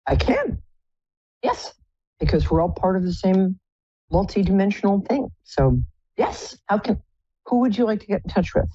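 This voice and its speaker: A woman with a low contralto voice hosting a live psychic call-in show, chatty and effusive, calling callers pet names. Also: big pitch swings, normal speed, long pauses, warm tone, husky, no echo, lo-fi sound. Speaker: psychic radio host.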